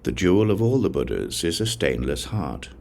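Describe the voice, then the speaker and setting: A man reading a religious text as an audiobook narrator, in a solemn, measured recitation. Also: sits low, big pitch swings, vocal fry, darkish tone. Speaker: audiobook reader.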